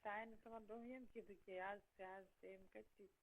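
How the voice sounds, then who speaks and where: A woman's voice: mid-range voice, fairly even intonation, brisk. A woman talking casually and privately on a recorded phone call.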